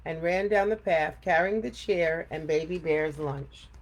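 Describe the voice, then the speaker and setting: A woman's voice: deep voice, measured pace, a little hiss or hum, poor audio. A middle-aged or older woman hosting a read-aloud, narrating for children and chatting casually. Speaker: read-aloud storytime host. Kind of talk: expressive children's story narration and casual chat.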